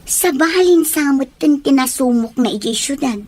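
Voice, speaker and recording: Woman playing a caring, high-voiced friend. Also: moderate pace, a little mellow, natural voice. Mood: concerned. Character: concerned, supportive female friend. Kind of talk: audio drama acting.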